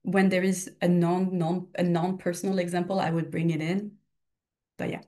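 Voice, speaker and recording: A woman's voice: fairly low pitch, flat delivery, normal speed, mellow. A young woman with a slight Belgian French accent, giving a casual exam-prep lecture full of personal examples and self-corrections. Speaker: exam tutor. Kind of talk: recorded study lecture.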